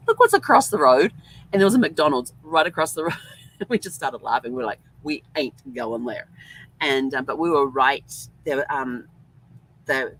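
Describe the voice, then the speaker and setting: A woman's voice: extremely low pitch, a little hiss or hum, poor audio. A woman hosting a vlog, telling a rambling, chatty travel story full of ums. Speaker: host. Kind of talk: casual travel vlog monologue.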